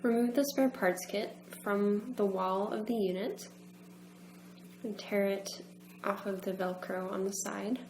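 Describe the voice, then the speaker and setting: A woman's voice: mid-range voice, fairly even intonation, measured pace, a little mellow. A woman narrating an instructional demonstration in a methodical, step-by-step manner. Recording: roomy echo, faint background hum.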